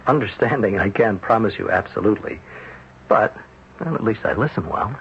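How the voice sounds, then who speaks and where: A man acting a patient, gently skeptical psychiatrist in an old-time radio drama, speaking in a hesitant, thoughtful way. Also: fairly low pitch, animated. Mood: patient, reassuring. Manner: hesitant, thoughtful, with hums and pauses. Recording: muffled, noisy old radio broadcast recording.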